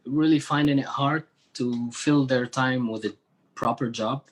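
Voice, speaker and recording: A man's voice: medium pitch, steady tone, unhurried. Syrian man answering in an interview. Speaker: interview guest, musician working with NGOs.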